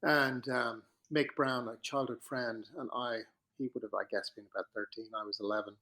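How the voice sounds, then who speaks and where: An Irish man in his fifties, a podcast guest telling his life story in a relaxed, reflective way, with pauses and restarts. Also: medium pitch, poor audio. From Ireland. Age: 50s.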